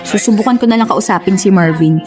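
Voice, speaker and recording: An actress with a Filipino accent playing a frustrated young housewife who longs for independence, in a Tagalog radio drama. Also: female voice, mid-range voice, fast, darkish tone, close to an everyday voice. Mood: earnest, wistful. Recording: music under the voice.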